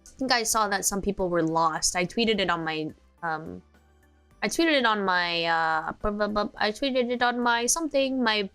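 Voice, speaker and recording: A woman's voice: medium pitch, normal speed, fairly bright tone, clear, resonant tone, faint music behind. A young American woman streaming, chatting casually and sleepily, rambling with many fillers and restarts. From United States.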